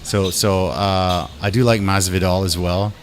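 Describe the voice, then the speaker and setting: A man hosting a podcast, chatting casually and excitedly with bro-ish slang and the odd swear word. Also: sits low, measured pace, a little hiss or hum. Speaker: podcast host.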